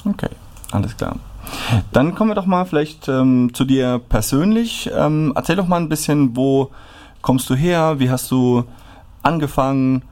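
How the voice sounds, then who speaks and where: A man in a casual club interview asks questions in a relaxed, loose way. His voice is of middling pitch. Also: moderate pace.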